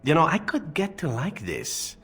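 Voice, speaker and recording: An actor playing a roguish elven assassin in a fantasy game, flippant and charming, telling things in a wry, nonchalant manner. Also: male voice, fairly high pitch, huge pitch swings, normal speed, a bit bright, faint music behind, heavily acted. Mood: amused. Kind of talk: dramatic game dialogue.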